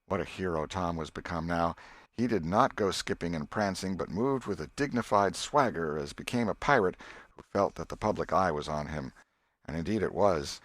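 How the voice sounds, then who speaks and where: A man's voice: low voice, a bit bright, dry recording. Male audiobook narrator, dry close sound. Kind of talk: scripted literary narration.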